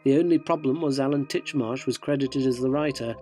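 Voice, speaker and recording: A man's voice: mid-range voice, fairly quick, dark tone, soft background music, close to an everyday voice. A man playing a cynical, self-loathing tabloid journalist in a radio drama, wry, wordy and theatrical. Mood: wry narrating. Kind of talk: radio drama narration and dialogue.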